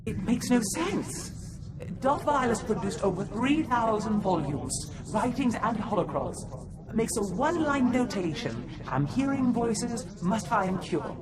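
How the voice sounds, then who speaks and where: A sly, theatrical, scholarly Sith. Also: male voice, high-pitched, big pitch swings, measured pace, a crowd in the background. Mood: puzzled, intent. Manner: articulate, theatrical. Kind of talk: video game cutscene dialogue. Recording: music behind the voice.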